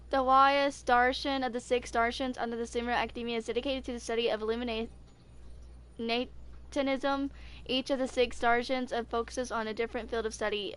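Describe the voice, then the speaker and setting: A woman's voice: fairly high pitch, fairly bright tone, clean tone. A woman in her twenties streaming casually and reading game lore aloud, informal, stumbling over some words. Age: 20s. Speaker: gaming streamer.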